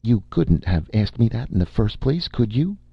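A cool, unflappable young woman, as a male narrator puts her on, coaxing and pushy in a husky, gravelly voice. Her pitch rides lively and high above his own. The sound is dull and lo-fi. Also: moderate pace, no room sound. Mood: cool, persuasive. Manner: calm, coaxing, sly. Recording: dull lo-fi recording.

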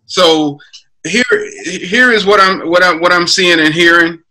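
Male producer, casual coaching, sharp bright tone, webcam call. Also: sits high, measured pace, rough recording. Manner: probing questions, brainstorming aloud. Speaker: music producer. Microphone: webcam on a video call.

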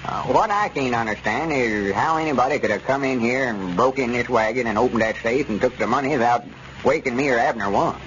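A man's voice: mid-range voice, fairly quick, fairly bright tone, close to an everyday voice. Old rural Southern rustic, played by a male actor: trusting, folksy drawl, golden-age radio comedy, dull noisy recording. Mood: earnest, defensive. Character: folksy old country storekeeper turned circus owner, slow and trusting. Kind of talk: 1930s-40s radio comedy acting.

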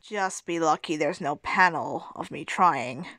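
A woman's voice: deep voice, measured pace, bright, forceful tone, no echo, exaggerated voice. A woman voicing a blunt, nonchalant male animal handyman in an amateur cartoon comic dub, dry and offhand.

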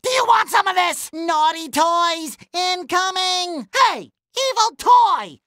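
A man voicing a naive, cheerful young sea sponge hero for a cartoon video game, with a high, squeaky, nasal voice and exuberant, melodramatic exclamations. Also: wildly swooping pitch, slow, sharp tone, no echo, clean studio sound, exaggerated voice. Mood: boastful, determined.

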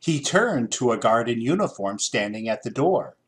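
Male American storybook narrator, middle-aged, expressive, widely varied intonation. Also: medium pitch, moderate pace. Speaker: storybook reader.

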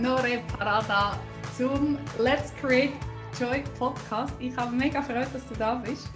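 A woman in her thirties with a Zurich Swiss German accent, hosting a podcast with a bubbly, upbeat manner. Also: high-pitched. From Säuliamt, Canton of Zurich, Switzerland. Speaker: podcast host. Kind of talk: scripted podcast intro and casual chat. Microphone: video call. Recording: music playing behind the voice. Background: music.

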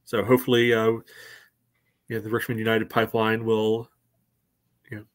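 A man in his thirties with a fairly low voice joins a casual sports panel over a video call from home. His talk is loose and rambling, padded with little filler phrases. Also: monotone, normal speed. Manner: loose, rambling, peppered with "you know". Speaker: soccer podcast panelist. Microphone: video call microphone.

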